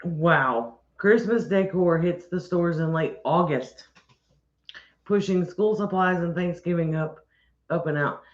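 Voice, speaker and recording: A woman chatting on a homestead livestream, rambling and friendly in tone, often restarting her sentences. Her voice sits at an ordinary pitch. The audio is roomy and a little dull. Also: takes it slowly. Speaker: homestead channel co-host. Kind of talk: casual livestream chat.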